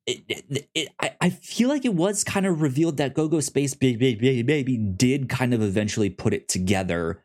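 A man co-hosting a podcast in casual chat, recapping a story in a halting way, often repeating words and syllables. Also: mid-range voice, lively intonation, a little mellow. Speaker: podcast co-host.

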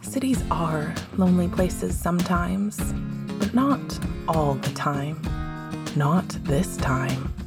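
A woman's voice: on the low side, expressive, slow, loud music behind the voice. A woman reading scripted fiction as the narrator of an audiobook. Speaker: podfic narrator.

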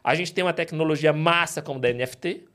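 A man from Ceará, a podcast guest talking in an animated, emphatic, exasperated way. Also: on the high side, fast talker. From Ceará, Brazil. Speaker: guest, payments company director. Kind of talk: casual podcast interview.

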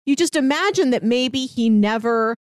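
A woman's voice: fairly high pitch, expressive, moderate pace, clear, resonant tone, no room sound. Chatty woman podcaster, close dry sound. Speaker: podcast host. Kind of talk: casual chat discussing a TV series.

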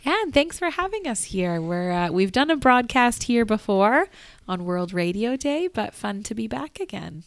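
A woman's voice: fairly high pitch, big pitch swings, moderate pace, gravelly, no echo. A woman hosting a casual live radio interview in a conversational, upbeat way. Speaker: radio show host. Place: church, live broadcast.

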